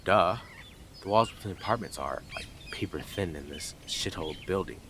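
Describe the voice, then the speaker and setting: A man's voice: low-pitched, normal speed, noisy background. A man playing a blunt, smug, obsessive male neighbour in an audio roleplay, with a taunting, sarcastic delivery and dramatic emphasis. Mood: mocking, smug. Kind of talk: scripted audio roleplay addressed to listener.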